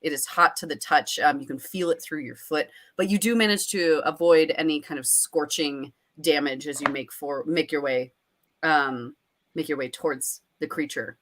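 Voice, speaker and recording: Playful low-voiced woman running a tabletop game. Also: moderate pace, fairly bright tone, slight background noise. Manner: playful, with dramatic descriptive asides. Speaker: game master. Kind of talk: improvised tabletop game narration.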